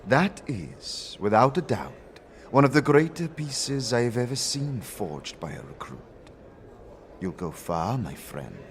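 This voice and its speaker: A man voicing a solemn senior guildsman in a fantasy audio drama, measured and ceremonial in his approval. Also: mid-range voice, big pitch swings, a little hiss or hum. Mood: solemn, approving. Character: Keldor, solemn senior guildsman, formal and approving elder male.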